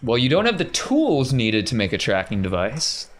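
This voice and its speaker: A man's voice: mid-range voice, wildly swooping pitch, normal speed. A man casually narrating a tabletop roleplaying game as the game master.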